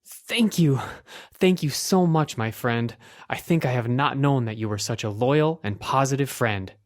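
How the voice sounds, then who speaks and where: Woman voicing an anxious, low-pitched young storybook man. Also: a little slow. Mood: grateful. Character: anxious, earnest young man seeking a loyal friend.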